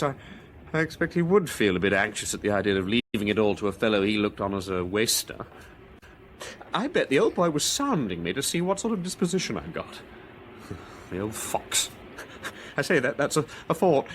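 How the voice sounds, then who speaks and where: An actor playing a bitter, nervy war veteran gentleman in a radio drama, with an upper-class English accent and excitable public-school slang. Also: medium pitch, moderate pace, long pauses, slight background noise, close to an everyday voice. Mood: musing, amused.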